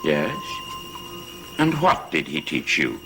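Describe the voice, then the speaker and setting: A man's voice: a bass voice, huge pitch swings, slow, full character voice. An actor playing a stern, suspicious, power-hungry stepfather in an old gothic TV soap, accusing and grandiose in manner. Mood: stern, demanding. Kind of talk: 1960s-70s gothic TV soap acting. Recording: old TV soundtrack under loud music.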